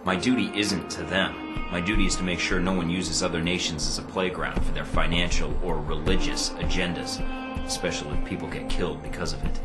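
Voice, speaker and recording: A young American secret agent, acted by a man, speaks in an extremely low voice. He is cool, understated and resolute, as in a spy game, with prominent music behind him. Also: fairly even intonation, brisk, natural voice.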